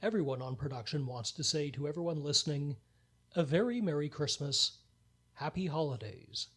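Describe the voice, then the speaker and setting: A male narrator delivers scripted lines for a radio play. His voice is husky and breathy, pitched a bit higher than most men. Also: lively intonation, normal speed, fairly bright tone.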